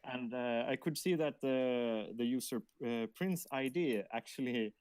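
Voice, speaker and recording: Male co-host, medium pitch, casual and hesitant, dry close recording. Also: unhurried, darkish tone, smooth, no room sound. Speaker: livestream co-host monitoring the chat. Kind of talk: casual livestream chat.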